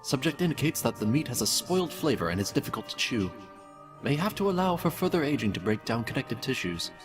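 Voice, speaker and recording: A man's voice: medium pitch, sing-song, fast, natural voice. A man voicing a cold, detached mad scientist who pompously dictates cruel experiment notes in a fan-made audio drama. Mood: coolly menacing. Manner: clinical, detached, pompous, menacingly polite. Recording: distant voice with music behind.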